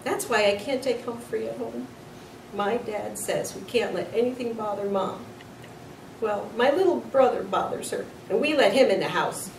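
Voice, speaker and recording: A woman voicing an upset, worried young schoolboy in a children's story, halting and plaintive. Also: fairly high pitch, creaky, voice pushed up. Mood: tearful, worried. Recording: roomy, distant mic, noisy.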